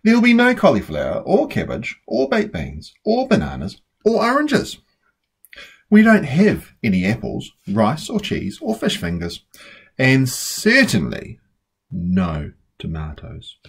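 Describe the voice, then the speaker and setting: A woman's voice: extremely low pitch, wildly swooping pitch, a little slow, slightly rough, pitched below the performer's own voice, heavily acted. A woman voicing a clever, patient young boy, an older brother in a storybook, playfully persuasive. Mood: matter-of-fact. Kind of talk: storybook character voice.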